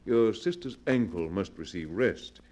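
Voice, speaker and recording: Courtly low-voiced radio recluse. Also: male voice, animated, measured pace, darkish tone. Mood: insistent, pleased. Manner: formal, genteel, insistent. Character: courteous, lonely male recluse host, faintly sinister and formal. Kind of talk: 1940s radio acting.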